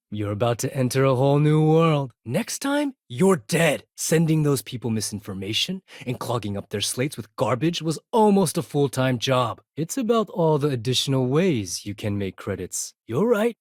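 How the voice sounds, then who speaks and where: Sardonic space pirate accountant, animated pitch. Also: male voice, dry recording. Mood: angry, threatening. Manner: precise, formal, condescending, dry sarcasm. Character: proud, sardonic space pirate fleet accountant, adult man. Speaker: video game character voice actor. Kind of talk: scripted game dialogue.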